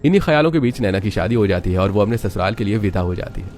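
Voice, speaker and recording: A man's voice: on the low side, expressive, rapid-fire, a little mellow, a little hiss or hum. A man narrating a scripted dramatic story, with music underneath.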